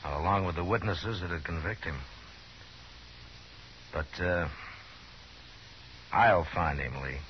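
An American actor playing a steady, world-weary frontier lawman in a 1950s radio Western, measured, grave and laconic. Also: deep voice, a little hiss or hum. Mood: calm, watchful. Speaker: lead actor and narrator. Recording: muffled old 1950s radio recording.

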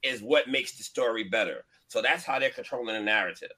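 A man's voice: mid-range voice, brisk, pushed and bright. A man chatting on a show, earnestly explaining his views at length. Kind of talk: casual chat.

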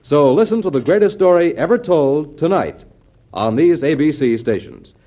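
Animated vintage American radio announcer, male. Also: a little high, normal speed. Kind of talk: 1940s radio announcing, scripted promotional read. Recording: dull old radio broadcast, music behind. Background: music.

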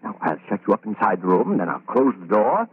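A man's voice: lowish voice, big pitch swings, fast, breathy edge, no echo. An actor playing a genteel madman who murders women for profit, theatrical and smug, muttering repeated phrases to himself in old-time radio horror style. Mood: gloating menace. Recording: muffled, thin old radio recording.

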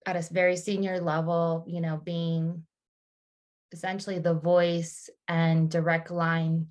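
A woman's voice: lowish voice, flat delivery, unhurried, darkish tone. A woman in her thirties, a podcast guest, speaking thoughtfully in casual conversation with frequent restarts and fillers.

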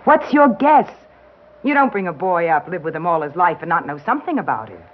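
Cynical female reporter, pointed and persistent, animated pitch, classic radio acting, dull vintage sound. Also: a little fast. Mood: pressing. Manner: pointed, wry, pressing.

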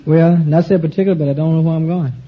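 A man's voice: on the high side, fairly even intonation, quick pace, a faint crowd. A young man from Texas, a quiz show contestant, giving plain, brief answers to a host's questions. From Texas, USA. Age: young adult. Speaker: quiz contestant, army draftee. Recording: muffled old radio recording, faint noise.

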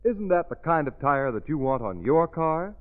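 A man's voice: fairly high pitch, very animated, moderate pace. A man delivering an old-time radio commercial as an announcer. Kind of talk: 1940s radio advertising pitch. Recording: tinny old radio recording.

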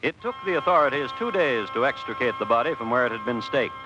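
A man's voice: mid-range voice, animated, fast talker, lo-fi sound. A man delivering dramatic scripted narration in a classic radio drama. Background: music.

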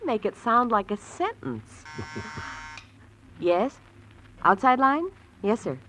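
An actress playing a chatty, playful and curious young hospital receptionist in 1940s radio drama style. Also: female voice, medium pitch, sing-song, takes it slowly, a bit bright, low-quality recording. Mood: curious, probing. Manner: playful, teasing, inquisitive. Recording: vintage radio recording, faint noise.

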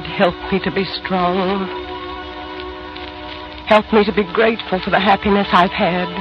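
Actress as an anguished wife, music behind, dull radio. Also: female voice, medium pitch, close to an everyday voice. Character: anguished young wife facing death, prayerful and idealistic. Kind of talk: 1940s radio acting.

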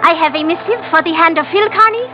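A young island girl in a 1940s radio adventure. Her voice is extremely high and her phrasing formal and stilted. The old recording sounds dull. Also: fast talker, music in the background. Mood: eager. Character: smiling young Micronesian island girl carrying a message. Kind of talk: 1940s radio drama acting.

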